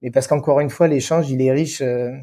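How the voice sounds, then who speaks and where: A man's voice: mid-range voice, fairly even intonation, normal speed, dark tone. A man with a regional French accent giving conversational explanations as an interview guest, searching for words and repeating key phrases. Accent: French, non-Lyon regional. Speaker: interview guest, event venue professional.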